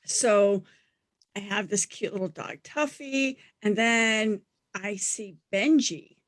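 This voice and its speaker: Brief: middle-aged woman guest, scratchy voice, animated storyteller, dry close mic. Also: medium pitch, slow pace, dry recording. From Denver, USA. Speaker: guest, animal talent agent. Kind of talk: casual interview storytelling. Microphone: studio mic.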